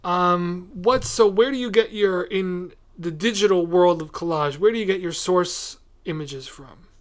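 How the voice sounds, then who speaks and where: A man's voice: sits high, measured pace. A man hosting a podcast, chatting casually with a guest in an interview style. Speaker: podcast host.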